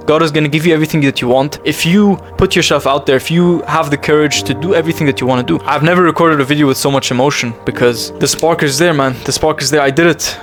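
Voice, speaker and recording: Young male vlogger, fairly high voice, rapid earnest confessional delivery, repeats lines for emphasis, music bed underneath. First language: English. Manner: earnest and intense, repeats phrases for emphasis, calls listener bro. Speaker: self-improvement vlogger. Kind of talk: emotional confessional monologue to camera. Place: bedroom.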